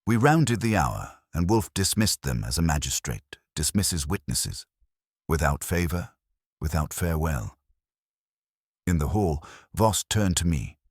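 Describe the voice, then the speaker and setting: A man's voice: a bass voice, big pitch swings, unhurried, breathy edge, dry recording, clean studio sound. A man reading a scripted first-person detective story as an audiobook narrator.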